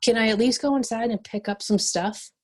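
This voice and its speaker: A woman's voice: on the high side, a little fast, natural voice. Woman acting a terse, defiant female cop. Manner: terse, combative. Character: defiant, stubborn female bounty cop, weary and prickly. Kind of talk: screenplay table read.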